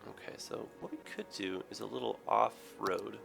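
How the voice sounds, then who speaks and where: A man with a raspy, hoarse, tired voice, rambling through casual game commentary with many ums and self-corrections. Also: fairly low pitch, fairly even intonation, moderate pace, over music. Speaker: gaming video host. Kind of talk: casual let's play commentary.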